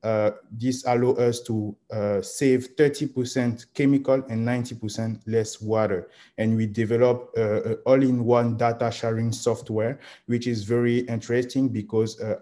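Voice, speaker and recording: A man, presenting a startup pitch as its founder over a video call. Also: level tone, moderate pace, hardly any pauses, warm tone. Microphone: video call microphone.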